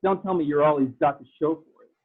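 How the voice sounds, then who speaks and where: A man's voice: fairly high pitch, quick pace, lightly acted. Phone-like, arrogant male racing boss acted out. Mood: taunting. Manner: condescending, taunting. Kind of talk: table read of fan fiction. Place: remote video call. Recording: thin, phone-like video-call audio.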